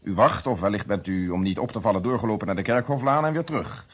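Stern police inspector, muffled recording. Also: male voice, on the low side, fast talker, slightly rough. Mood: confident, accusing. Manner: insistent, precise, formal. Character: stern, methodical male police inspector questioning suspects. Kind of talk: radio drama acting. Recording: dull muffled old radio drama recording.

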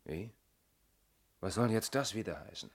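Casting: inspector's colleague in radio crime play; low voice, measured, sympathetic, skeptical questioner. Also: male voice, animated, slightly rough, heavily acted. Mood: puzzled, skeptical. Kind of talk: German radio crime drama acting.

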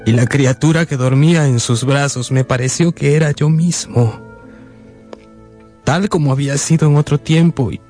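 A man's voice: fairly high pitch, moderate pace, darkish tone, close to an everyday voice. An actor playing a sensitive young man narrating his unhappy boyhood in a radio drama. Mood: grieving. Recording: music bed behind the voice.

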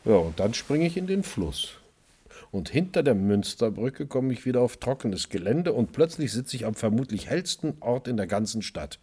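A husky male voice with swooping, lively intonation, as a wry and stubborn chef in a radio crime drama. He tells his story like a first-person narrator, expressive and conversational. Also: mid-range voice, normal speed. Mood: animated recounting.

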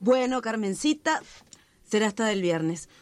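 A woman playing a streetwise nurse in a radio melodrama, colloquial and expressive. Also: a little high, a little slow, no echo. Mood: brisk, reassuring. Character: brisk, streetwise woman nurse who dabbles in folk remedies.

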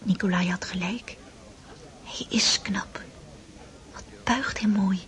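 A woman's voice: mid-range voice, slow, fairly bright tone, whispered. An actress in a Dutch radio drama playing an eager, romantic young girl at her first grand ball, dreamy and yearning. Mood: whispered, dreamy.

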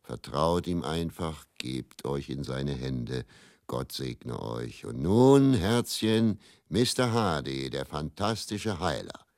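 An actor puts on a reverent warm-up showman for a faith healer, very deep and close to the microphone. He coaxes in a slow, hushed drawl, in a dry radio drama recording. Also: expressive, no echo, exaggerated voice. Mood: reverent, soothing.

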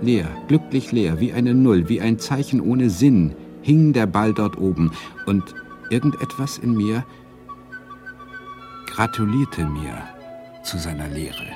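An actor playing a wry, self-doubting Swedish literature lecturer who narrates a radio drama in a reflective, deliberate way. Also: male voice, fairly low pitch, expressive, over music. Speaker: narrator and lead character.

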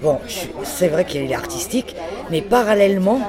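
Man, high voice, eager and hesitant, café crowd behind. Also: very animated, a little fast. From France. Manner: eager and chatty, often hesitating with "euh". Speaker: conversation partner. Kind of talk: casual chat.

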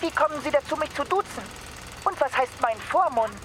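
A woman's voice: high voice, lively intonation, a little fast, noisy background. A woman playing a naive, polite young person called Baumann in a German radio drama. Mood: indignant, puzzled.

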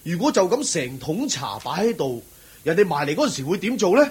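A kindly country tea giver in a Cantonese radio drama. His voice is very husky and fairly high, swooping widely in pitch. He is humble and folksy, full of chuckles and friendly exclamations. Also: sing-song, brisk, full character voice. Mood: cheerful, helpful.

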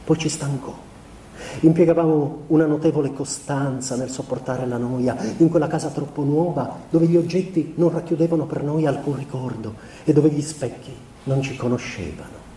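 An actor playing a melancholy young husband in a radio theatre monologue, confessing by letter to his wife in a measured, deliberate way. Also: fairly high pitch, warm tone, close to an everyday voice. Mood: weary melancholy. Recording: roomy, distant stage recording with background noise.

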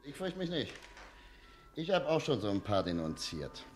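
An actor in a classic German radio play, playing a brash, spiteful, self-satisfied informer with a boastful, defiant manner. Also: male voice, medium pitch, sing-song, normal speed, soft background music, natural voice. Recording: noisy old radio recording with ambience.

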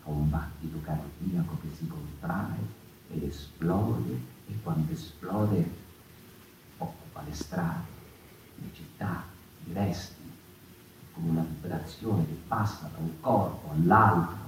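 An actor playing a rambling, impassioned storyteller in a conversational theatre monologue, digressing and seeking agreement. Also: male voice, low voice, slow. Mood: musing. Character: rambling, impassioned male storyteller of the mouse folk. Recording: echoey, distant, noisy live theatre recording.

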